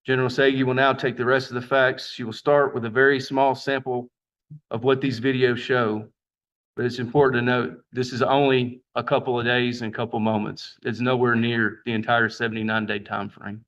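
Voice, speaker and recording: A man speaking as a prosecutor, laying out facts formally and in a measured way in a courtroom, on dull lo-fi audio. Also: mid-range voice, flat delivery, a bit bright.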